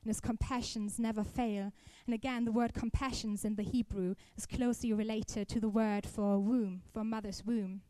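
A woman's voice: on the high side, fairly even intonation, moderate pace, hardly any pauses, warm tone, no room sound, poor audio. A young woman giving a careful, explanatory sermon to a church congregation, occasionally restarting her words. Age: young adult. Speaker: student speaker at a church service.

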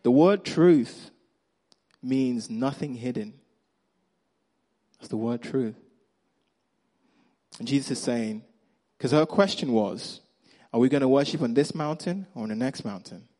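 A man with a Nigerian accent preaching a sermon, acting out the characters' lines and swinging between loud emphasis and quiet, hushed moments. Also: medium pitch, unhurried, pauses often. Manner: animated storyteller, acts out Bible characters' lines.

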